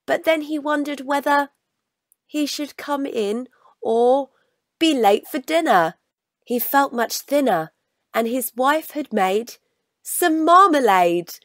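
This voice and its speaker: A woman reading a children's story aloud in an expressive, deliberate storytelling manner. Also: high voice, dry recording, studio quality. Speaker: storybook reader.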